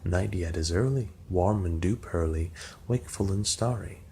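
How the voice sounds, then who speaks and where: Man reading as a sly low-voiced goblin tempter. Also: moderate pace, warm tone. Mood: inviting. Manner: coaxing, verse-like sales patter. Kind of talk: storybook poem reading. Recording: clear background noise.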